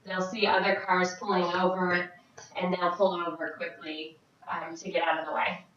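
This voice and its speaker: A woman's voice: fairly low pitch, flat delivery, a bit bright. Woman interpreting in an echoey room. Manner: halting, phrase by phrase, frequent restarts. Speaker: sign language interpreter voicing a Deaf vlogger. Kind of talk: live voice interpretation of a signed Q&A. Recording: very echoey, distant, dull sound.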